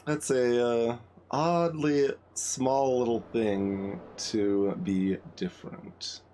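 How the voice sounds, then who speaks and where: A man's voice: medium pitch, steady tone, extremely slow, mellow, slight background noise. A man giving casual commentary on a game playthrough, musing and thinking aloud as he goes.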